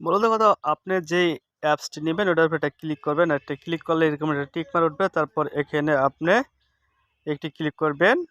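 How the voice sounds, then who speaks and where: Higher-pitched, clear man. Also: quick pace, a bit bright, dry recording, dull audio. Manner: instructive, repeats steps and seeks confirmation. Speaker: tech tips host. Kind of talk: casual screen-recording tutorial.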